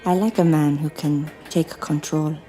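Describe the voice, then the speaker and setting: A mysterious femme fatale speaks with aloof, seductive formality in a noir audio drama. Her slow voice is dark and soft, over faint music. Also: deep voice, fairly even intonation. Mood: intrigued, seductive.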